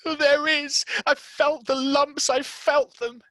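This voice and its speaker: Shrill whiny sick little boy, demanding, storybook, very high. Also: little pitch movement, takes it slowly, pushed and bright, no room sound, heavily acted. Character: spoiled, sickly young boy, wailing and demanding.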